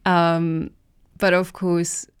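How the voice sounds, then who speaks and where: Slow, smooth-voiced woman. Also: fairly low pitch, little pitch movement, no room sound, clean studio sound. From South Africa. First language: Afrikaans. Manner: halting, many fillers and restarts. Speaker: visiting artist, interview guest. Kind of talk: casual interview answers in English.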